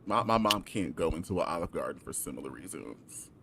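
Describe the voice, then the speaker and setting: An American man chatting casually as a co-host, reacting with expressive, slangy interjections. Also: low voice, fairly quick. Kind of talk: casual chat, reacting to a friend. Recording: clear background noise.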